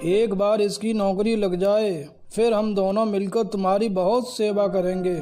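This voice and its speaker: A man acting as a devoted young husband and mother's boy in a cartoon story. His voice is high for a man yet mellow, and he speaks earnestly over faint music. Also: little pitch movement, a little slow. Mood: supportive. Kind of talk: animated Hindi moral story dialogue.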